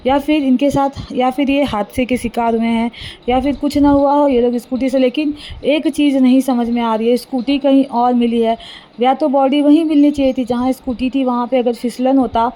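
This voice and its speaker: A woman recounting a news case informally and unscripted, halting and correcting herself as she explains. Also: sits high, fairly even intonation, warm tone, rough recording.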